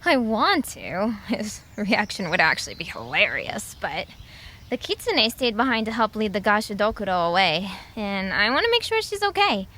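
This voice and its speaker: A woman playing an excitable, earnest young sister on a quest in an audio drama. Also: mid-range voice, normal speed. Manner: animated, earnest.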